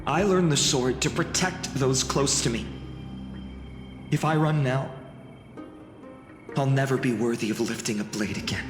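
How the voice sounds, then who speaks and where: A man's voice: medium pitch, unhurried, pauses often, natural voice. A man playing an earnest, brave young village boy in a video game, heartfelt and intense. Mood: resolute. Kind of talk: dramatic scripted game acting. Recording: echoey long reverb under music.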